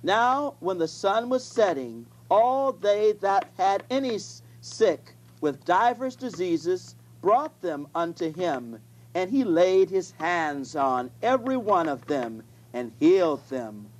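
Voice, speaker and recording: A reader delivering scripture in a deliberate manner, halting at times. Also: mid-range voice, lively intonation. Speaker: Bible reader. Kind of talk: scripture reading.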